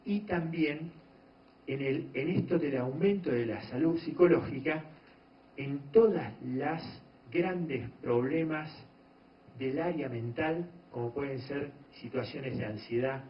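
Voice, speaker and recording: A man lectures an audience in a steady, explanatory way, often pausing mid-phrase. His voice sits a little high for a man. The recording is dull and lo-fi, with a roomy echo around him. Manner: measured, explanatory, frequent pauses and restarts. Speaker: doctor giving a talk on meditation. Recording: echoey room, dull lo-fi sound.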